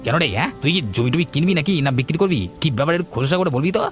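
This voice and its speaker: Excitable, shifty cartoon village uncle, quick and animated, repeats words, male performer. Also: fairly high pitch, soft background music, lightly acted. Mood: nosy. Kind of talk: cartoon voice acting.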